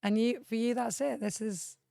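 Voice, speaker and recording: A woman's voice: a little high, fairly even intonation, moderate pace, vocal fry, dark tone, no room sound, clean studio sound. A young woman hosting a casual interview podcast, chatty, upbeat and teasing. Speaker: podcast host.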